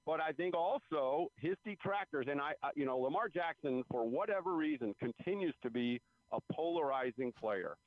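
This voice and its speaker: A man's voice: on the high side, animated, normal speed, few pauses, dry recording. A man giving conversational sports analysis as a guest reporter, repeating phrases for emphasis, over a phone line. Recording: phone-like radio call-in line.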